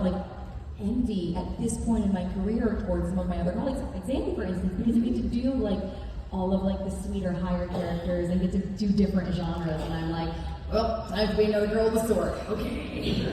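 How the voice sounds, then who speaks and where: A woman voice actor talking candidly on a convention panel, joking with quick asides. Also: medium pitch. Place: large convention hall. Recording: echoey hall from far off, noisy.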